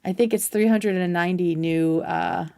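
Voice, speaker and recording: A woman's voice: on the low side, fairly even intonation, normal speed, vocal fry, darkish tone, clean tone. An American woman hosting a livestream, giving casual, opinionated commentary in a blunt, sweary, conversational way. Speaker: live stream host reacting to clips.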